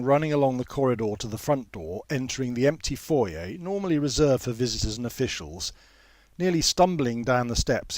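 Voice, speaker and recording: Man reading a story with animated pitch. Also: no echo. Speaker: author reading own story. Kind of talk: scripted audiobook narration.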